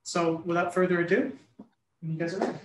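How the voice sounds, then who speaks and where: A woman's voice: on the low side, fairly even intonation, brisk. Woman host opening a campus talk, lots of uhs, echoey room. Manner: many "uh" fillers, loose. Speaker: event host. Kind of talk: welcoming introduction. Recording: echoey classroom, faint noise.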